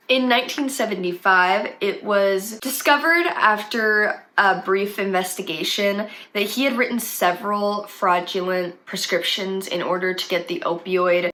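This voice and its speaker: A woman's voice: mid-range voice, sharp tone. A young woman with a slight cold, chatting casually as she tells a true crime story for her channel. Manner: chatty, conversational with fillers. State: has a cold, sniffly.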